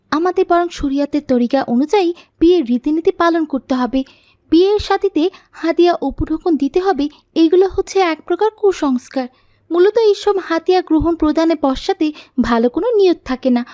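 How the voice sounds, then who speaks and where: A woman plays an earnest young cartoon girl with a high voice. She is pious and polite, and she explains right and wrong like a small teacher in a scripted moral tale. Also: warm tone, no room sound, studio quality. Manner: polite, didactic. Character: pious, earnest little girl who corrects others politely.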